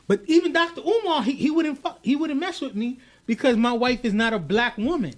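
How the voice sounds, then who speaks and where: A man, born in the United States to Haitian parents, co-hosting a podcast in an animated, emphatic way, often repeating words as he starts a thought. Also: very high voice, brisk. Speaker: podcast co-host. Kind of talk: casual podcast discussion.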